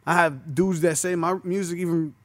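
A man's voice: a little high, fairly even intonation, normal speed, no echo. A man in his twenties, a rapper being interviewed, talking in laid-back street slang and filling his talk with casual asides. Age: 20s. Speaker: rapper guest.

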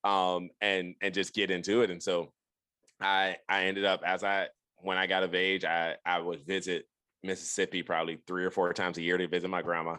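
Deep-voiced man telling a rambling story. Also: little pitch movement, moderate pace, pushed and bright, smooth, no room sound. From Detroit, Michigan. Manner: rambling, with many restarts and "like". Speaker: podcast guest. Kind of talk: casual storytelling interview.

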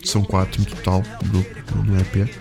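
A man's voice: sits low, completely flat delivery, normal speed, vocal fry. A man with a European Portuguese accent hosting a music show, chatting casually in an informal, rambling, slangy way as he introduces songs.

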